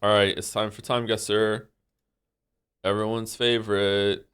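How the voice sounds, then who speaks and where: A man around forty talks through a live game stream, his voice a touch low and thick with congestion. He rambles in a low-key way, full of ums, restarts and mild jokes at his own expense. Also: little pitch movement, unhurried, a bit bright, clear, resonant tone. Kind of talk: casual live-stream chat.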